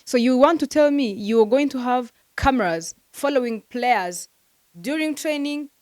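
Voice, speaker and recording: A woman's voice: sits high, expressive, measured pace, darkish tone, dry recording. A woman hosting a football transfer news vlog, talking casually and animatedly to her viewers with lots of fillers, venting like a frustrated fan.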